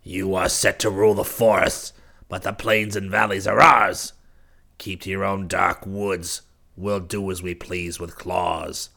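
A man's voice: sits low, little pitch movement, a little slow, a bit bright. A man voicing a fierce, insolent king of wicked mountain creatures in a storybook, haughty, menacing and defiant. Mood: impudent.

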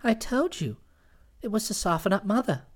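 A woman's voice: mid-range voice, sing-song, normal speed, pitched below the performer's own voice. A woman voicing a breezy, scheming young woman. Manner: breezy, matter-of-fact, teasing.

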